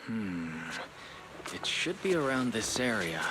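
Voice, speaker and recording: A man voicing an easygoing, curious young man in a video game cutscene, relaxed and low-key. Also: takes it slowly, rough recording.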